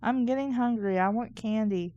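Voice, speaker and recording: A woman's voice: a little high, normal speed, warm tone, no echo, muffled recording, natural voice. A woman voicing a mischievous, impatient kid out trick-or-treating in a storybook, with exclamatory delivery. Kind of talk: storybook character dialogue.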